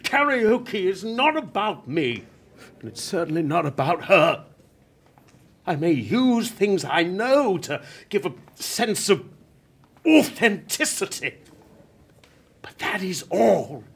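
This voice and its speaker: Older male writer near death, prickly and pained, slow drawn-out lines for a radio drama, played by a man. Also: sits high, big pitch swings, slightly rough, heavily acted. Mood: indignant. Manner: bitter, guarded, slow and deliberate. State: in pain. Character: dying elderly male TV writer, prickly and proud. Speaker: dying TV writer. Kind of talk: radio play acting.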